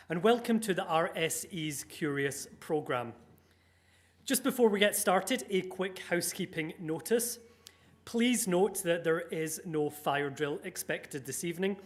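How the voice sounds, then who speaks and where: A man hosting a public lecture, giving a formal, upbeat welcome and introducing the speaker in an announcer-like way. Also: fairly high pitch. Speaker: event host. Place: lecture room with audience.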